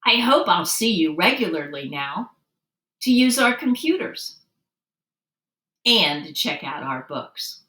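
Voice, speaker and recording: A woman voicing a kindly, patient older male children's librarian in a storybook, with a gently humorous, helpful manner. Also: lowish voice, sing-song, slow pace, pauses often, heavily acted. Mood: warm. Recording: roomy, distant microphone.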